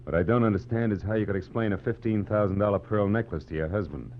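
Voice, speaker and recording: An American actor playing a cynical, tough private detective in a 1940s radio drama, with a clipped, world-weary, wisecracking delivery. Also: male voice, on the low side, quick pace, lightly acted. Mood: terse, probing. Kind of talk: 1940s radio detective drama acting with first-person narration. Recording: dull, noisy, humming 1940s radio recording.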